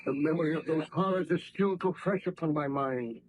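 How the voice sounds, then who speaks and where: An actor playing a haunted, fearful baron scientist in formal, melodramatic 1930s radio drama style. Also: male voice, on the high side, fairly quick, a little hiss or hum, close to an everyday voice. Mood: weary, shaken. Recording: muffled vintage radio recording.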